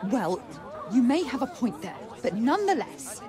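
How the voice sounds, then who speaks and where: A woman's voice: fairly high pitch, big pitch swings, normal speed. An actress playing a stern, officious female city guard in a video game, with a commanding manner. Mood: grudging. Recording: crowd and music behind.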